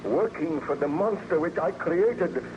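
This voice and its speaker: Guilt-ridden scientist: slightly high voice, theatrical anguish, old radio horror, noisy recording. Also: male voice, lively intonation, unhurried, highs cut off. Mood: anguished, driven. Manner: theatrical, grave, anguished delivery.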